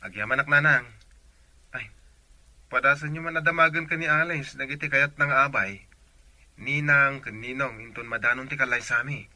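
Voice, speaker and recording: An actor playing a brisk, insistent grown son in an Ilocano radio drama, speaking with an Ilocano accent. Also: male voice, mid-range voice, pushed and bright, dry recording. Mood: eager.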